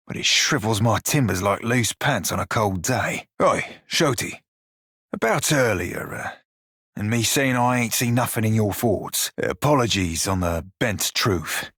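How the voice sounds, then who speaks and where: A man playing a roguish, good-hearted creature in a video game, talking in salty dialect with a rough, husky voice. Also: on the low side, a bit bright, dry recording, clean studio sound, exaggerated voice. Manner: salty pirate dialect, colloquial. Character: roguish blue-furred psychic pirate, flirty, salty, good-hearted. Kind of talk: video game character dialogue.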